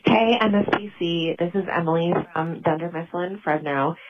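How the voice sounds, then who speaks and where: A woman with a fairly low voice tells a personal story in a conversational style. She is a caller leaving a voicemail, so the sound is lo-fi and muffled with faint noise. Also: normal speed. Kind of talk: casual personal anecdote. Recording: muffled, noisy voicemail message.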